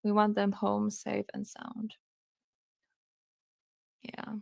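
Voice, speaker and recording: A young woman with a Finnish accent, reading a true crime case aloud on a live stream, hesitant with many pauses and fillers. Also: mid-range voice, monotone, gravelly, darkish tone, no room sound. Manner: hesitant, many ums, reads quotes aloud.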